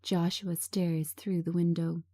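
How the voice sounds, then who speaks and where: A woman giving a scripted narration of screenplay directions in a measured, understated manner. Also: on the low side, little pitch movement, dark tone, no room sound.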